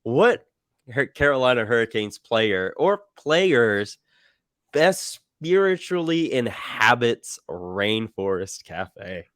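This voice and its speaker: A man's voice: mid-range voice, sing-song, slow pace, fairly bright tone, no echo, studio quality. A man hosting a podcast, chatting casually in an informal, hesitant and wordy way. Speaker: podcast host, interviewer. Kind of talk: casual chat.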